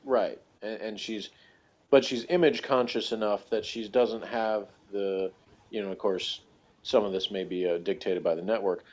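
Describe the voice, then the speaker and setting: Male co-host, deep voice, loose rambling analysis, halting and chuckly, dull lo-fi sound. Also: fairly even intonation, a little mellow. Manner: halting, thinks aloud, chuckles as talking. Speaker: podcast co-host reviewing a TV series. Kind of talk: casual chat, rambling analysis. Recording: dull, lo-fi audio.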